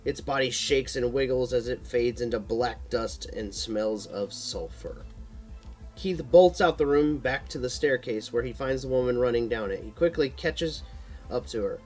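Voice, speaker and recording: Guy reading his horror action story out loud, medium pitch, trips on words sometimes, music in the background. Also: normal speed. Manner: stumbles and repeats words while reading. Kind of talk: amateur scripted audiobook narration, action horror.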